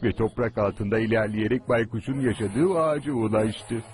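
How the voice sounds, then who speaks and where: A man's voice: on the low side, moderate pace, a little mellow, natural voice. A man voicing an earnest, tinkering stick-insect scientist in a cartoon, explaining things methodically. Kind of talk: animated cartoon dub. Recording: music behind dialogue.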